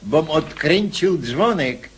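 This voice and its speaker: A placid, loyal manservant in a period radio comedy. He talks slowly in a somewhat high voice, with some noise on the recording. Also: animated. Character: unhurried, loyal male household servant. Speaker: actor in a radio play. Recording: slightly noisy radio play.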